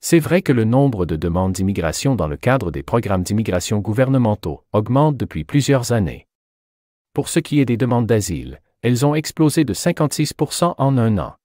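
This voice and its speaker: A man acts a measured journalist answering as an expert in a scripted interview. His low voice has very varied intonation and explains point by point. The recording is dry. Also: big pitch swings, dark tone, dry recording. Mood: measured, explanatory. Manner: articulate, explanatory.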